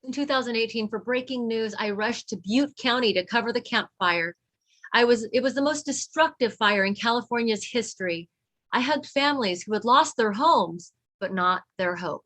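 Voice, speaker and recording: A woman's voice: fairly high pitch, normal speed, fairly bright tone. A woman reading a children's picture book aloud, with teacherly emphasis for young listeners. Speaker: read-along co-reader.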